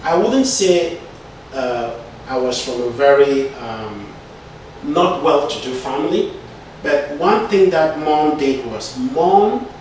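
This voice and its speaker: A middle-aged man from Cameroon, an IT consultant answering interview questions with many pauses and fillers, often tagging "right?" onto his points. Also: a little high, lively intonation, darkish tone, a little hiss or hum. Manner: hesitant, many fillers and restarts, frequent "right?". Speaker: IT consultant and entrepreneur. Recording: echoey room, voice from a distance.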